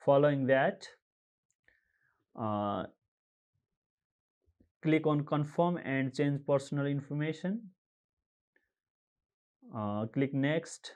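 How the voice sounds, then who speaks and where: Slow, halting man guiding a screen walkthrough. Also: fairly high pitch, animated, a little mellow, smooth. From Nepal. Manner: halting, frequent uh and um fillers, reads out codes slowly. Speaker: tutorial presenter. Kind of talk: screen-recorded how-to walkthrough.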